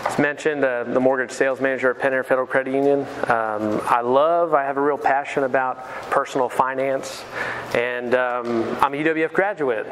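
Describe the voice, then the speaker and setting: A man's voice: medium pitch, fairly even intonation, normal speed, a bit bright, slight background noise, poor audio. A man in his thirties, originally from Pensacola, Florida, giving a casual finance seminar as a mortgage sales manager. He is chatty and self-deprecating and keeps asking the audience questions. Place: lecture room.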